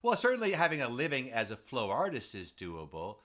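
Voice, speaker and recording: A male interviewer who talks in a relaxed conversational way. His voice is bright and swings widely in pitch as he asks probing questions, often softening them with hedging phrases. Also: brisk.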